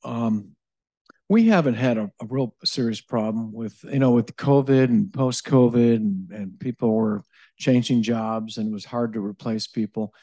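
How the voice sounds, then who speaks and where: A man answers audience questions at a live event, his voice mellow and dark. The sound is dry and close. He stops and restarts often, fills gaps with "um", and keeps checking in with "okay?". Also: mid-range voice, no echo. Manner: halting, with many fillers, restarts and a tag "okay?". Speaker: utility innovation director answering audience questions. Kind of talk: live presentation Q&A.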